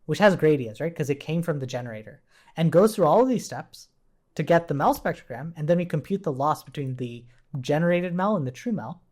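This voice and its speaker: A man's voice: fairly high pitch, expressive, fairly quick, a little mellow. A man giving an informal coding tutorial as an instructor, explaining step by step and checking in with rhetorical questions while he types. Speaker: programming instructor.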